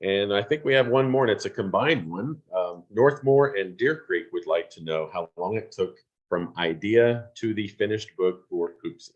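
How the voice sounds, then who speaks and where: A man's voice: fairly low pitch, normal speed, muffled recording. A man hosting an informal online award event, reading out questions and giving thanks.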